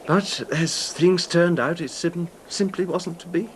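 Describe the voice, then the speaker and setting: An actor playing an emotionally fragile, apologetic husband who hesitates and stammers in a 1970s radio drama. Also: male voice, sits high, unhurried. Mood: wistful, reflective. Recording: noisy 1970s radio broadcast recording.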